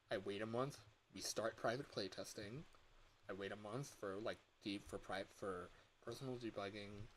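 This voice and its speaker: A man streamer chatting casually, thinking aloud in a halting, rambling way. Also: fairly low pitch, a little hiss or hum, low-quality recording. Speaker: livestreamer and fangame developer. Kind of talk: casual livestream chat. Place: livestream at a computer.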